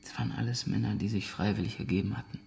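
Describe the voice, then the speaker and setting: A man playing a traumatised, guarded ex-soldier in a naturalistic radio drama, speaking in halting, broken phrases. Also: on the low side, fairly even intonation, a little mellow, a little hiss or hum. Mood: haunted, strained. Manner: halting, broken sentences, breathy near-whispers. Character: traumatised ex-soldier, haunted, guarded former team leader.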